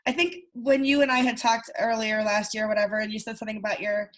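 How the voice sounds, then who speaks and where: A woman's voice: fairly high pitch, little pitch movement, fast, a bit bright. A woman in her early fifties hosting a video call interview, friendly and chatty, laughing easily. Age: 50s. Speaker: host.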